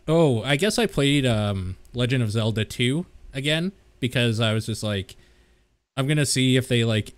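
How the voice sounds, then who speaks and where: A man's voice: mid-range voice, no room sound. Laid-back man with a dry close voice. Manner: laid-back, brief replies, mild swearing. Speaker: podcast co-host. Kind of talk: casual chat.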